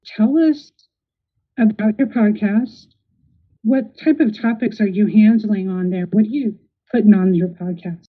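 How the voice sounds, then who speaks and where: A woman's voice: medium pitch, a little slow, slightly rough. A woman hosting a podcast, interviewing casually with supportive affirmations. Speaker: podcast host. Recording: tinny phone-like audio.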